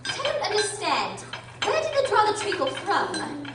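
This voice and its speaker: A woman's voice: very high-pitched, huge pitch swings, measured pace, full character voice. A young woman playing a curious, outspoken young girl in a radio drama. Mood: puzzled. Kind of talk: stage radio drama acting. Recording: echoey hall, distant mic, loud noise.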